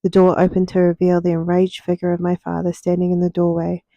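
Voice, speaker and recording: A young woman reading her own fiction aloud as soft ASMR narration, unhurried, with deliberate pauses. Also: fairly low pitch, completely flat delivery, no room sound, lo-fi sound.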